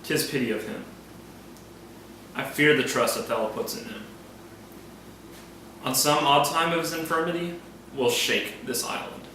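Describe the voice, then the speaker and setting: An actor playing a scheming soldier who feigns honest concern, in declamatory Shakespearean stage style. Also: male voice, mid-range voice, measured pace, pauses often, sharp tone, a little hiss or hum. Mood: confiding, sly. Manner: theatrical, declamatory. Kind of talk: classical Shakespearean stage acting. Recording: echoey hall recording, music behind.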